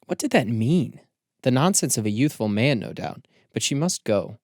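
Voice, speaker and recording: A man reading scripted literary narration as an audiobook narrator, with measured, deliberate phrasing. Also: medium pitch, animated, a little mellow, dry recording, studio quality.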